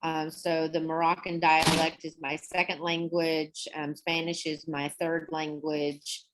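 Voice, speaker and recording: A young adult woman teaching an online English class, explaining words in a chatty, relaxed way and laughing often. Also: deep voice, a little slow, background noise.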